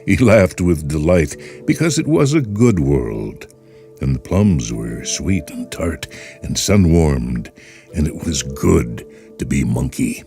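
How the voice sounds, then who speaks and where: A man hosting and narrating a short story in an expressive, unhurried storytelling way. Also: a bass voice. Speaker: host and narrator. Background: faint music.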